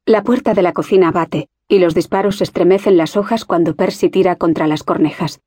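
A woman's voice: on the low side, a little fast, warm tone, no room sound, clean studio sound, lightly acted. A woman voicing a homesick schoolgirl in an audiobook, speaking with bitter, tearful complaint. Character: homesick, resentful schoolgirl Susan. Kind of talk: audiobook character reading.

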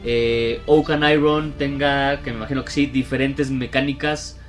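Guy hosting, laid back, lots of "este" and "eh", music clearly playing behind. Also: mid-range voice, moderate pace. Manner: relaxed, filler-heavy, conversational. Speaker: podcast host reading listener questions. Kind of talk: casual interview chat.

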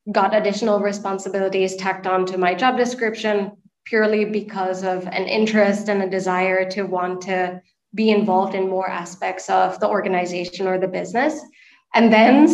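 A woman in her late twenties from Sri Lanka, giving conversational answers as a podcast guest. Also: medium pitch. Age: late 20s. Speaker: podcast guest, nonprofit and social enterprise manager. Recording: echoey room, dull lo-fi call audio.

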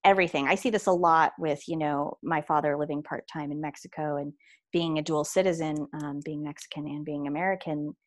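A woman's voice: sits low, steady tone, a little fast. A woman in casual conversation, reflective and chatty, trailing off with fillers.

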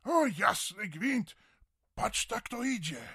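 Creaky, very high tipsy villager. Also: male voice, big pitch swings, slow pace, sharp tone, dry recording, clean studio sound, full character voice. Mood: startled, merry. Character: excitable tipsy village drinking pal. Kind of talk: Polish audio drama acting.

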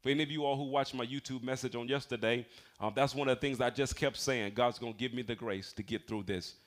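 Male preacher, forties, American accent, mid-pitched voice, emphatic yet conversational sermon delivery with personal testimony. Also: steady tone, fast talker. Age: 40s. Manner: emphatic, conversational, calls for amens. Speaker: pastor. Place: speaking to a congregation in a church building.

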